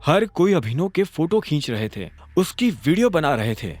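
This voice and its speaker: A man's voice: fairly high pitch, big pitch swings, moderate pace, music in the background. A man narrating a romantic audio drama in a dramatic, scripted storytelling style.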